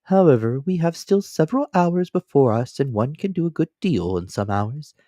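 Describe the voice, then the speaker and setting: A man voicing a young manservant in an audiobook, unflappably calm, polite, formal and deferential. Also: a little high, moderate pace, no room sound, pitched above the performer's own voice, close to an everyday voice. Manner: polite, formal, addresses master in third person. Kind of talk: dramatic audiobook character reading.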